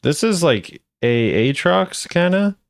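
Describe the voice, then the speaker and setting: A man's voice: fairly high pitch, big pitch swings, takes it slowly, gravelly, no echo, studio quality. A man giving casual, laid-back reaction commentary on game voice lines in a musing way.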